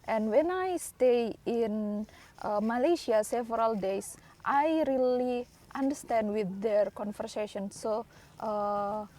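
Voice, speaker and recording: A young woman from East Java speaking English with an Indonesian accent, Javanese her first language, in a hesitant personal vlog full of pauses and fillers. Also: fairly high pitch, dark tone. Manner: hesitant, many uh fillers, non-native grammar. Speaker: English learner.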